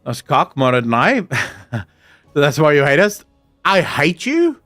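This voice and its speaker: Cursing South African streamer, slightly high voice. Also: male voice, very animated, slow, fairly bright tone, faint music behind. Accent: South African, Afrikaans. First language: Afrikaans. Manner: profane, ranting and joking. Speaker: live streamer. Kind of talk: casual live-stream chat, answering chat.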